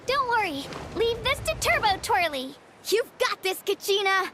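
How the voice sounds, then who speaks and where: A woman's voice: extremely high pitch, very animated, normal speed, very noisy. A woman voicing a bold, playful young girl adventurer in an anime game, full of exuberant battle cries. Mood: cheerful, confident. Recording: loud game music behind.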